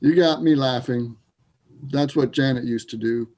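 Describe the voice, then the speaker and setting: A man playing a wry, troubled, grieving Canadian sports fan in a readers theater piece, with a gravelly voice. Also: medium pitch, a little slow, darkish tone, close to an everyday voice. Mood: wistful, troubled.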